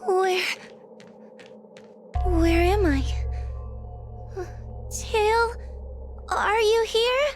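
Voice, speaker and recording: Very high, timid young fox girl. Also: drawn-out words, pauses often, heavily acted. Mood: confused, frightened. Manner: hesitant, plaintive. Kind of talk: video game voice acting. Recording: music under the voice.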